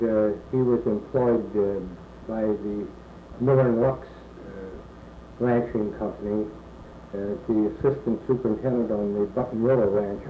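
Older man, halting, lots of pauses. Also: fairly low pitch. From California. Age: 60s. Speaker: oral history interviewee. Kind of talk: slow reminiscing answers. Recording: echoey room, muffled phone-like tape with faint hiss.

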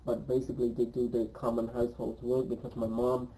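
A man's voice: medium pitch, little pitch movement, dark tone, slight background noise, poor audio. A man in his twenties from Cambodia, speaking English, recalls his life in an oral history interview and often stops to say um. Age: 20s. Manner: hesitant, pauses often with um.

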